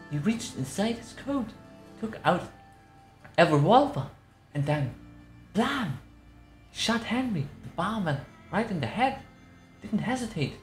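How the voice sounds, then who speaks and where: A woman voicing an elderly matriarch who recounts a tragic night as a folksy, dramatic storyteller. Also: mid-range voice. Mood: grave, dramatic. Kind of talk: dramatic reading of a game newspaper. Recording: roomy echo.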